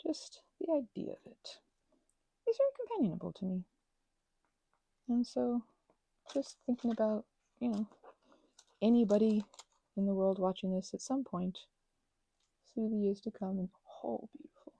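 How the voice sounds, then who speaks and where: A husky woman hosts a soft crafting ramble and slips between whispers and gentle chatter. Her voice is mellow and gravelly, with lively swings in pitch. The sound is dry and close. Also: moderate pace, pauses often, dry recording, low-quality recording. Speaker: ASMR channel host.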